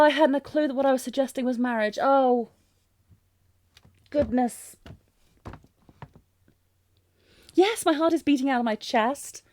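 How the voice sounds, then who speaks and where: A woman's voice: fairly high pitch, a little mellow, no room sound, natural voice. Woman acting an earnest, genteel fantasy princess, close and dry. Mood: stunned realisation. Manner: theatrical, breathy exclamations with genteel, old-fashioned phrasing. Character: lovestruck, flustered young fantasy princess, refined and earnest. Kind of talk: romantic audio roleplay spoken to the listener.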